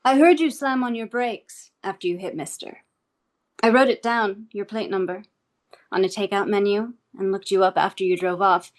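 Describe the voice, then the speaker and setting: A woman acting as an eerily composed, menacing interrogator in a script table read, speaking formally and deliberately. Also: medium pitch, lightly acted. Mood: controlled menace. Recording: music playing behind the voice.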